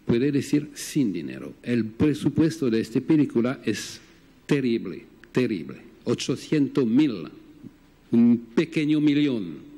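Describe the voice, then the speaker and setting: A film producer with a French accent, a native French speaker, speaking halting Spanish at a press conference and searching for words. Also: male voice, medium pitch, big pitch swings, mellow.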